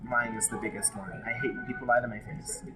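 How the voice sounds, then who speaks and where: A man's voice: medium pitch, little pitch movement, quick pace. A man from Hawaii telling his personal story in an interview, frank and emotional, often restarting his sentences. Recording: loud background music.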